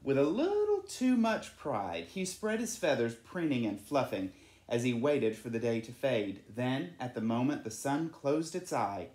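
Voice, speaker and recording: Animated storybook reader, lively pitch. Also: reverberant. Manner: animated, dramatic delivery. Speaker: storyteller reading aloud. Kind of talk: expressive children's storybook reading.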